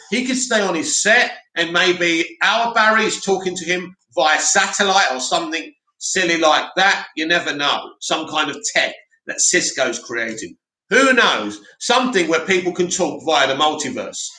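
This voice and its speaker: A man hosting a live stream, reading out viewer comments and answering them in a loud, opinionated way with lots of restarts. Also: fairly high pitch, sing-song, moderate pace. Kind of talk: casual live chat. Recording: echoey room.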